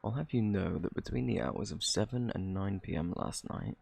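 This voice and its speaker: An actor playing a smug, flirty thief in a British accent, teasing and coy, in an ASMR roleplay. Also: male voice, low voice, expressive, moderate pace, creaky, darkish tone, husky, heavily acted. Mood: mock-innocent. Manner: teasing, drawling, theatrically coy.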